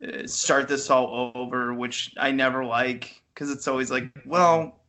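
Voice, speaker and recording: Bright, animated male podcast co-host. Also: medium pitch, a little slow. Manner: animated, jokey, acts out imagined quotes. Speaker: film review podcast co-host. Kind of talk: casual conversational film chat. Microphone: internet voice call.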